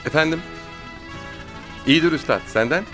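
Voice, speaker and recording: An actor playing an easygoing, joking office colleague in a TV drama. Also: male voice, on the high side, normal speed, a bit bright, soft background music, lightly acted. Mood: casual. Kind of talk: TV drama acting. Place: office, on set.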